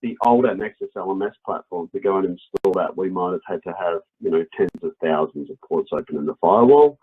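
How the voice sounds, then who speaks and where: A man with an Australian accent and a low, husky voice explains a product in a webinar. He talks conversationally, as a presenter walking people through something. The audio is slightly dull and lo-fi. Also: brisk.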